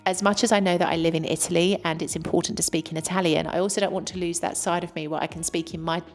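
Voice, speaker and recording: A woman's voice: fairly low pitch, steady tone, fast talker. A British woman talking earnestly to camera as a vlogger, speaking candidly in long, flowing sentences. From Britain. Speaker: vlogger living abroad. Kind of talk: casual talk to camera. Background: faint background music.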